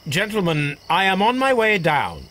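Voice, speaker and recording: An actor playing a brilliant, eccentric Victorian English detective, formal, precise and dryly teasing, in a video game. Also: male voice, high voice, big pitch swings, normal speed, bright, forceful tone, slight background noise, heavily acted. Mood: brisk, unruffled.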